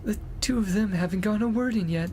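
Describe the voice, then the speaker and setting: A man's voice: sits high, brisk, dark tone, slight background noise, pitched above the performer's own voice. A man voicing a cheerful, teasing young female teaching assistant from a game, in a light and breezy way.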